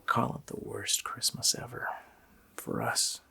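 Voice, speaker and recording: A man voicing a sadistic, joking Christmas demon in a gravelly, whispered ASMR roleplay, with a slow, mockingly playful menace. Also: bright, forceful tone. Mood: smugly amused. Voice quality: gravelly whisper with creaky growl.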